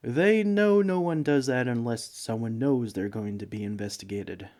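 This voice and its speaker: A man's voice: medium pitch, moderate pace, darkish tone, lightly acted. A man playing a bumbling, broke villain neighbor in a comedic audio roleplay, speaking in a hesitant, pleading, self-justifying way. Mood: cocky. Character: broke, bumbling, sheepish male supervillain neighbor begging for sugar. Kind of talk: comedic ASMR roleplay monologue.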